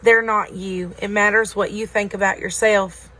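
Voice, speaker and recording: A woman's voice: mid-range voice, fairly even intonation, moderate pace, bright, forceful tone, low-quality recording. A woman reading a children's story aloud. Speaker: story reader at a school.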